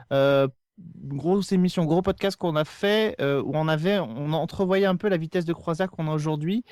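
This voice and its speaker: A man with a fairly high, smooth voice talks casually on a podcast. He sounds earnest and opinionated, and the recording is close and dry. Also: a little mellow, no room sound.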